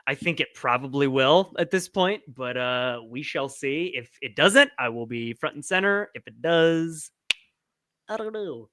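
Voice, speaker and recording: A woman's voice: deep voice, lively intonation, measured pace, a bit bright, no room sound. A woman co-hosting a sports podcast in casual conversation. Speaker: podcast co-host.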